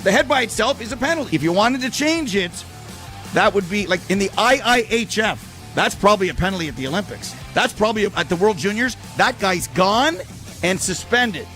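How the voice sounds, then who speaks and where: A man's voice: high voice, expressive, a little fast, sharp tone, faint music behind. A man arguing opinions on sports radio in an emphatic, challenging way.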